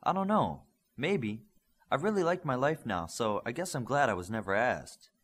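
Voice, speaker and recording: A man reads as an encouraging, clever superhero mentor in a storybook. His delivery is friendly, with animated pitch and a husky texture. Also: normal speed. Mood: reflective. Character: supportive, clever older male superhero mentor.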